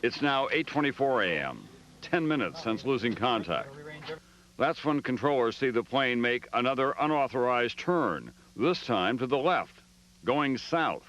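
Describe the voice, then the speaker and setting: A man reading scripted news documentary narration in a measured, dramatic way. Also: medium pitch, very animated, a bit bright. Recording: dull audio with faint background noise.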